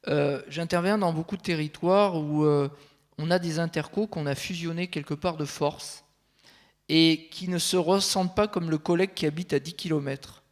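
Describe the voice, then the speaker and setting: Consultant guy talking on a conference panel, pretty high voice, lots of euhs and restarts. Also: fairly even intonation. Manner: measured, thinking aloud with frequent fillers and restarts.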